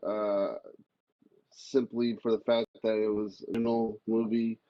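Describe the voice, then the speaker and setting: Male guest, lowish voice, blunt deadpan cynic in casual chat, dry close sound. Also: moderate pace, no room sound, lo-fi sound. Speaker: podcast guest.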